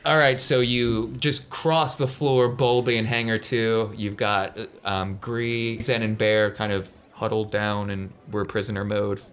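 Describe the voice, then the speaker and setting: Low-voiced man running a game. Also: level tone, measured pace, hardly any pauses, a bit bright, slight background noise. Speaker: game master. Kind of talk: narrating a tabletop role-playing game.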